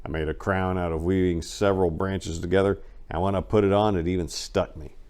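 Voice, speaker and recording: A man performing a dramatic reading of a first-person story, with theatrical, emphatic delivery and deliberate pauses. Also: sits low, steady tone, darkish tone, smooth. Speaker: host and story reader.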